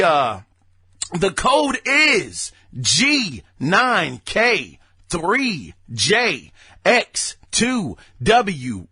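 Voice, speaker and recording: A young adult man hosting a casual gaming news podcast, hoarse, excitable and prone to loud bursts and folksy asides. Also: high-pitched, dry recording. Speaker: podcast host.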